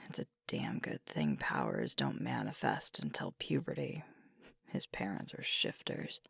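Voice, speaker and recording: A woman playing a sweet, weary werewolf girlfriend in an ASMR roleplay, confiding softly and haltingly in a breathy voice. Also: rumbling low, wildly swooping pitch, no room sound, clean studio sound, exaggerated voice. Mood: weary, wry. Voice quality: soft, breathy, whispery. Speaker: ASMR voice actor. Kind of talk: ASMR roleplay, intimate one-sided conversation.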